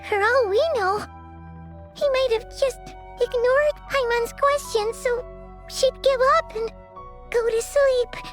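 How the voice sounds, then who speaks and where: A tiny fairy sidekick with an extremely high, squeaky little-kid voice. The earnest game character takes her time over each phrase, with music playing behind her. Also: slow. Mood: earnest, thoughtful. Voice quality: squeaky, childlike. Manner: refers to self in third person, earnest. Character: small childlike fairy companion, chirpy, loyal, talks in third person. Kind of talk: video game cutscene acting.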